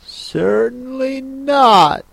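Woman as slow-speaking, high-voiced girl Alice. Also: expressive, creaky, darkish tone, no room sound, heavily acted. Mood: indignant. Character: polite, curious, easily affronted young girl Alice.

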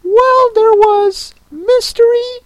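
Sobby touchy turtle creature for a storybook, super high squeaky voice, drags words out all tearful. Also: female voice, steady tone, dry recording. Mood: wistful. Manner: drawn-out, tearful delivery. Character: mournful, sobbing, touchy old Mock Turtle creature.

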